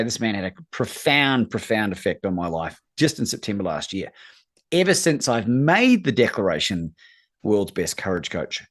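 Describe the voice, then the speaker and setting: Low-voiced New Zealand man talking motivation. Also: a bit bright. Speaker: guest, courage coach. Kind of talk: motivational interview talk.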